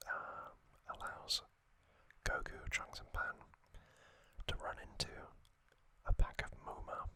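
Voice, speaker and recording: An ASMR host speaking in a breathy, unvoiced whisper, giving a hesitant, off-the-cuff recap. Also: slow pace, bright, forceful tone.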